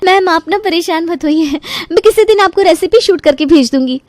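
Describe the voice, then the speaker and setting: घबराई, ऊँची आवाज़ वाली जवान बहू बनी महिला. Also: female voice, extremely high pitch, fairly quick, no echo, voice pushed up. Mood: nervously polite. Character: nervous, polite young daughter-in-law, covering up mess. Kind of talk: Hindi animated story dialogue.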